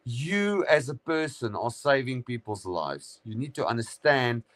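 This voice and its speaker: A middle-aged man talks loosely as a guest on a live stream. He wanders through his thoughts with plenty of ums and restarts, calling his host "brother." His voice sits at an everyday middle pitch. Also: expressive, a little slow, fairly bright tone. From South Africa. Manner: rambling, full of fillers and restarts, says "brother". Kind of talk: casual chat on a live stream.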